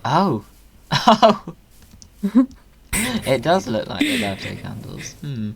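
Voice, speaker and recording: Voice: mid-range voice, very animated, takes it slowly. Playful gamer host chatting casually, whimsical banter, bit of noise on the mic. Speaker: video game streamer and host. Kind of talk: casual gaming chat.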